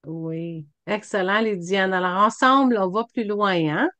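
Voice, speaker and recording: A woman's voice: mid-range voice, measured pace, fairly bright tone, clear, resonant tone, no room sound. A woman leading an online video meeting, handing the floor to each speaker and praising their answers. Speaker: meeting facilitator. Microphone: computer mic on video call.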